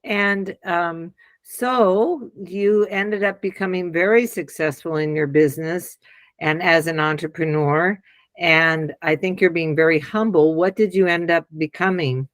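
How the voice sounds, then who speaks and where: A woman's voice: lowish voice, unhurried, dry recording. Woman interview host, encouraging manner, dry sound. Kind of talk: interview hosting.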